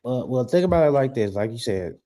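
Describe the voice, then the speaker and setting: A man's voice: medium pitch, lively intonation, a little fast, warm tone. A man co-hosting a sports podcast, explaining basketball points in casual, slangy speech.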